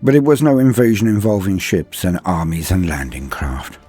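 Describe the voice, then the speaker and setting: Husky, low-voiced man narrating dramatically. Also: dark tone. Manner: theatrical, measured, with weighty pauses. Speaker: storyteller and channel host. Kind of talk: dramatic scripted story narration. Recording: music behind the voice. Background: background music.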